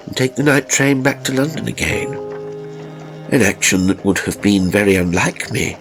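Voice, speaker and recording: Guy reading an old ghost story audiobook, lowish voice, measured and dramatic w/ pauses, faint music behind. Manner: measured, literary phrasing with deliberate pauses. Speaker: audiobook narrator. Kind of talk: dramatic scripted narration of a ghost story, told in first person.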